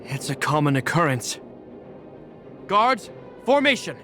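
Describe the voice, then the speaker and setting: A man's voice: a little high, big pitch swings, measured pace. A man playing a stern, dutiful young guard commander in a video game, formal and commanding. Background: game music.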